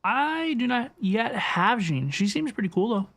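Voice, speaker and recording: A woman's voice: a little high, sing-song, unhurried. A woman livestreaming a game, chatting casually and effusively as she answers her viewers. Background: game music.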